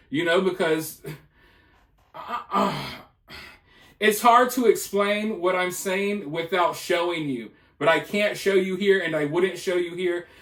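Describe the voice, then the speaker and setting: A man's voice: sits high, fairly bright tone. A man giving casual news commentary in a speculative, insistent way, often repeating his points. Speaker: video channel commentator. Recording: roomy, echoing voice.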